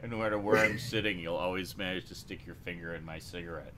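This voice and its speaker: A man's voice: low voice, steady tone, fast, crowd noise. A man hosting a casual group chat in a joking, teasing way. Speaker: host of a geek chat show.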